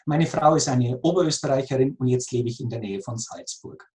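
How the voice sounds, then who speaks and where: A man in his forties with a Lower Bavarian accent, explaining his work conversationally and earnestly as an interview guest, repeating phrases for emphasis. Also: a little fast, darkish tone. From Lower Bavaria, Germany. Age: 40s.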